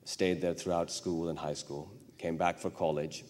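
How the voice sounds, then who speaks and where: A man from Pakistan, a novelist giving an unhurried, thoughtful acceptance speech at an award ceremony in a large hall. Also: deep voice, flat delivery, a little mellow. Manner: thoughtful, unhurried, speaking off the cuff. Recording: echoey hall reverb.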